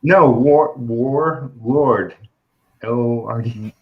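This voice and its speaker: A man's voice: medium pitch, very slow, highs cut off. A man with a New York accent hosting a casual comics livestream, rambling with frequent restarts. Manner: rambling, restarts often, laughs between remarks. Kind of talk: casual chat.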